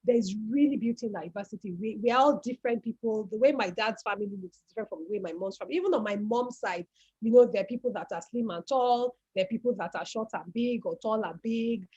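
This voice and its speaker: A woman's voice: on the high side, quick pace, a little mellow, husky. A woman with a Nigerian accent, speaking as a guest on an online talk and telling her family's story reflectively, often repeating words as she searches for them. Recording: dull lo-fi call audio.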